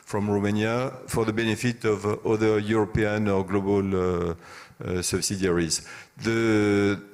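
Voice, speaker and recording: A man speaking off the cuff as a business executive on a conference panel, hesitant and halting, pausing often. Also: fairly low pitch. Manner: hesitant, halting delivery with long pauses. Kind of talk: unscripted panel discussion.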